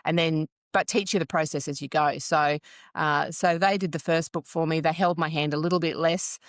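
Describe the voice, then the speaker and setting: Brief: woman, 50s, from Wales, smooth-voiced podcast guest, chatty storyteller, dry close sound. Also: deep voice, steady tone, normal speed, dry recording. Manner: chatty, storytelling, frequent ums. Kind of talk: casual interview chat.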